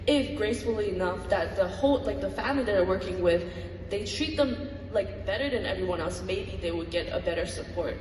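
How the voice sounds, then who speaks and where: A woman's voice: mid-range voice, lively intonation, a little fast, a little mellow, a little hiss or hum. A young woman, giving an earnest, reflective personal talk to an audience. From Malaysia. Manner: earnest, reflective, with light laughs. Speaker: student speaker. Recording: echoey hall from far off.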